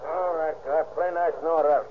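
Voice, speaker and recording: A man's voice: fairly high pitch, fairly even intonation, moderate pace, a little hiss or hum, natural voice. Man acting a sneering, gloating stick-up gangster, old radio. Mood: menacing, mocking. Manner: sneering, taunting, slangy tough-guy talk. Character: gloating, cruel armed stick-up gangster, leader of the gang. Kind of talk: old-time radio crime drama acting. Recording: muffled, narrow-band vintage radio recording.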